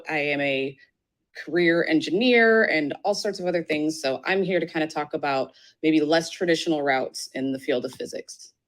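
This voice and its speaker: A young woman, an astronomy graduate student and business founder, introducing herself on a panel with confidence. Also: deep voice.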